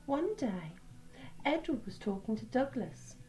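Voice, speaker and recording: Higher-voiced woman reading a children's story. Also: very animated, unhurried, mellow, faint music behind. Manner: teacherly, pointing out pictures and repeating words. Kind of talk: read-aloud storytelling with asides to children.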